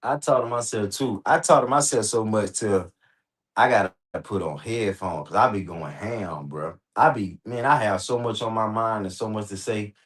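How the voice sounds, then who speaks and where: Low-pitched male, American accent, animated casual rant, heavy swearing, repetitive emphasis. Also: moderate pace. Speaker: host reacting on a livestream. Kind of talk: casual rambling livestream talk.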